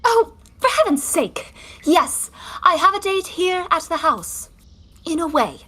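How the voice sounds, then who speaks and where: An actress playing an impatient, prim young sister in a 1920s stage comedy, huffy and scolding with clipped retorts. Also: female voice, extremely high pitch, very animated, unhurried, fairly bright tone, full character voice. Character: exasperated, prim, impatient sister of a carefree bather.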